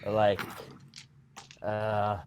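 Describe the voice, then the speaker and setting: A man voicing an awkward young male orc warrior who talks in hesitant, halting bits. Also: fairly low pitch, completely flat delivery, a little mellow, lo-fi sound, a little hiss or hum. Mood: puzzled. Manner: hesitant, halting in-character talk.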